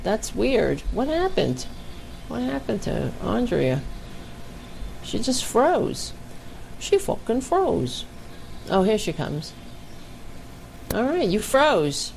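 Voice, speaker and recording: A woman's voice: medium pitch, big pitch swings, slow pace, creaky, long pauses, a little mellow, a little hiss or hum, rough recording. A woman hosting a casual livestream chat over a video call, blunt and chatty, swearing freely. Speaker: podcast host.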